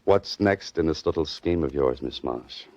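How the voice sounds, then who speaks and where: An actor playing an exasperated, sardonic writer roped into trouble in a 1940s radio drama. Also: male voice, a bass voice, animated, moderate pace, a little mellow. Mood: disbelieving. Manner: dry, sardonic, protesting. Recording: dull vintage 1940s radio recording.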